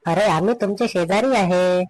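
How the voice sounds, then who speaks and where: A shameless, meddling neighbour man from a comedy cartoon, acted by a man, very high for a male voice. He pesters in Vidarbha Marathi and takes offence easily. The recording carries some noise. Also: moderate pace, lightly acted. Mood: nosy, offended.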